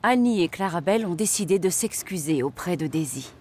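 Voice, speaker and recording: A woman's voice: lowish voice, lively intonation, brisk, darkish tone, faint music behind. A woman narrating a French cartoon episode in a storytelling manner.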